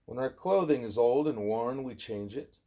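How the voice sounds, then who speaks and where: A man reading a scripted spiritual dialogue as an audiobook, in a measured way with emphasis on rhetorical questions. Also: medium pitch, dark tone. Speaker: audiobook reader.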